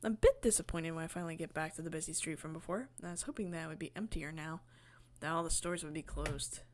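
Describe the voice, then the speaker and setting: A woman's voice: deep voice, fast. A woman streaming a visual novel, reading the narration aloud in a casual way with her own commentary. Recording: game music behind the voice. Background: guitar game music.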